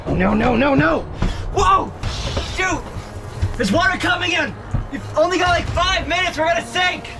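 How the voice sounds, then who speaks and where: A man's voice: lively intonation, a little slow, over music. A man improvising in a homemade survival drama, talking in a practical, conversational and slightly hesitant way. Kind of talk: improvised survival role-play, plain conversational talk. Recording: loud boat engine and water noise. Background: boat, water and vehicle rumble.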